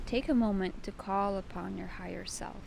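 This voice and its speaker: A woman leading a guided meditation with gentle, soothing, unhurried instruction. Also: mid-range voice, fairly even intonation, creaky, a little hiss or hum.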